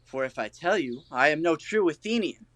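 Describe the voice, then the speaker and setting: A man's voice: a little high, expressive, normal speed, a bit bright. A man playing an exuberant, self-important amateur actor in a Shakespearean audio play, theatrical and bustling in delivery. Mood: self-important, eager.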